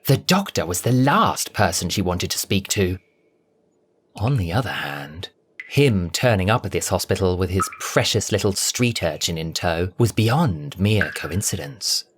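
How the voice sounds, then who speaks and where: Animated male story narrator. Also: low-pitched, a little slow, a little hiss or hum. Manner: theatrical, expressive. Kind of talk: dramatic scripted story narration.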